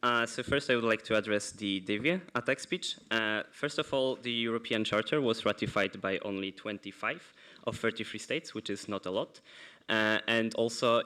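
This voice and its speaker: A young man answering in a formal debate at a youth assembly, pausing often on fillers. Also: lowish voice, pushed and bright, smooth. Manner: hesitant, many filler pauses. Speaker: committee delegate answering a speech. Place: large assembly hall.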